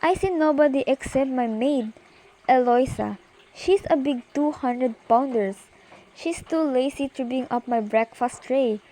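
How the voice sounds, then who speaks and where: A woman's voice: high voice, measured pace, dark tone, poor audio. A young woman acting an anxious, demanding bedridden wife in an amateur radio play, pleading insistently and dramatically. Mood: nervous, explaining. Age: teenager.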